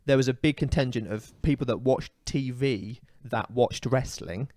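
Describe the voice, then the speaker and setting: A man in casual podcast chat, holding forth with firm opinions and plenty of "um" and "you know". His voice sits at an ordinary middle pitch. It is recorded close and dry, with no room sound. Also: normal speed, darkish tone, no room sound. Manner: opinionated, ranting, many fillers. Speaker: podcast co-host.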